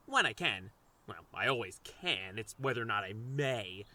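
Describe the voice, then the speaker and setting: A man's voice: lowish voice, sing-song, normal speed, sharp tone, heavily acted. A man playing an eager, awkward, over-literal male office assistant in an audio drama, rambling and correcting himself. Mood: eager, flustered.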